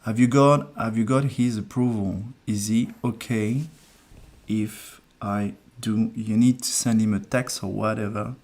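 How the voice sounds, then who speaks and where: A man hosting a casual live numerology stream, soft-spoken and hesitant as he thinks aloud. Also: fairly low pitch, fairly even intonation, unhurried. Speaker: numerologist host.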